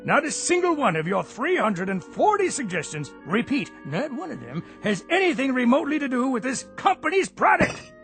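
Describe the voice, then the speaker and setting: Withering male boss: high pitch, animated, formal, sarcastic, radio comedy. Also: normal speed, faint music behind, exaggerated voice. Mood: exasperated. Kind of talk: comic radio drama acting.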